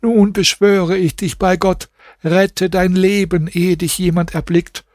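A man's voice: sits high, flat delivery, a little slow, darkish tone, no room sound, clean studio sound, voice pushed up. A man voicing a tearful captive princess. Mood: pleading. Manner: mournful, pleading. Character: grieving captive young princess, tearful wife.

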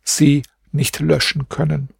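Man doing a heartbroken captive princess in a storybook, pleading and mournful, voice pushed up high, a little husky, dry close sound. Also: unhurried, darkish tone, no room sound. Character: grieving captive young princess, tearful wife.

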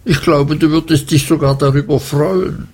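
A fretful young widowed mother, pitched high and plaintive, as a male narrator puts her on. The voice is slow and husky with a gravelly edge. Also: steady tone, a little mellow, exaggerated voice. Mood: tearful.

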